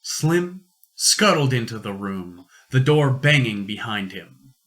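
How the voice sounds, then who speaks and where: A man's voice: medium pitch, sing-song, slow, a bit bright. A man reading scripted story narration for an amateur radio play.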